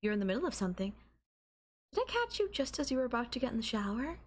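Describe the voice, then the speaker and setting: A woman's voice: fairly high pitch, sing-song, quick pace. Coy, teasing young girlfriend played by a woman. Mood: affectionate, coaxing. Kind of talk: intimate anime-style audio roleplay.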